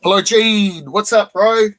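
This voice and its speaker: A man with a slightly high, croaky voice worn rough by a cold hosts a relaxed live stream. He chats loosely with his viewers, greets them by name and stops often to clear his throat. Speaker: live stream host showing comic books. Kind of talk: casual live stream chat.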